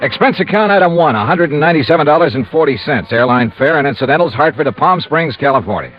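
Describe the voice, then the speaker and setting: An American actor playing a breezy, wisecracking insurance investigator in 1950s radio drama, with wry, clipped narration. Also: male voice, fairly high pitch, lively intonation, quick pace, soft background music, lightly acted. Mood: brisk, matter-of-fact. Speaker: lead actor in a radio detective series. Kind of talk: 1950s radio acting and first-person narration. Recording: muffled vintage radio broadcast.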